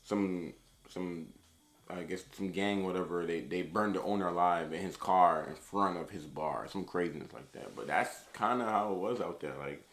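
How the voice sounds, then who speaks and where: Very deep-voiced laid-back man from Queens. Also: normal speed, fairly bright tone. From Queens, New York. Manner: laid-back, rambling, lots of "you know" and "like". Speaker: vlogger. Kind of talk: casual talk to camera.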